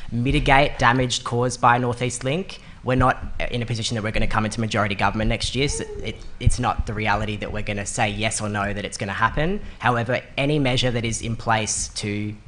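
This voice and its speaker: A young man answering as a candidate at a public forum, earnest and measured, speaking into a desk mic in a meeting room. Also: mid-range voice, steady tone, fairly bright tone, slight background noise. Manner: earnest, measured, some restarts. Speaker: political candidate on a panel. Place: meeting room with panel table. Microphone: desk microphone on stand.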